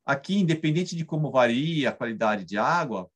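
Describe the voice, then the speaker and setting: A man's voice: medium pitch, animated, moderate pace. A man in his thirties with a Brazilian Portuguese accent, explaining a technical topic over slides in a webcam lecture, often adding tag questions. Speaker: engineering guest lecturer. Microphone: webcam on video call.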